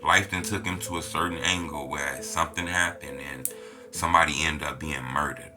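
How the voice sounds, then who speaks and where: A man's voice: extremely low pitch, fairly even intonation, normal speed. An American man hosting a street-culture interview channel, speaking in casual, conversational slang. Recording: music behind the voice.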